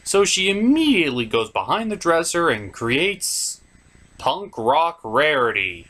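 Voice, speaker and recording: Lively, higher-voiced man reviewing cartoons. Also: a little slow. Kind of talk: scripted comedic review narration.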